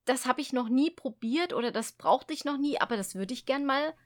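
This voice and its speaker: High-voiced woman hosting a radio chat. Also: expressive, fairly quick. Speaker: radio host, interviewer. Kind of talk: casual radio interview talk.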